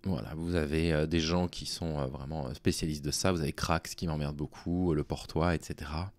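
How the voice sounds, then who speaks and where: A man speaking informally to his online audience in a halting, hesitant way, with a worn-out, small, tired voice. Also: a bass voice, steady tone, darkish tone, dry recording. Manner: halting, hesitant delivery with long pauses. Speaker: online video creator. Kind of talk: informal talking to audience, explaining personal situation.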